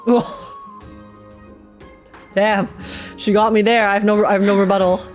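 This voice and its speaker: A woman's voice: mid-range voice, level tone, unhurried, soft background music. A woman streamer giving casual, joking, blunt and slangy live reaction commentary on a game.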